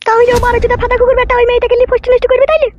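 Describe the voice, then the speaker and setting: A woman's voice: very high voice, level tone, rapid-fire, darkish tone. A woman voicing an emphatic, plain-spoken wronged young wife in a comic cartoon, on a dull recording. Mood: indignant. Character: shrill wronged young married village woman, wary then yielding. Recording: dull lo-fi audio with music.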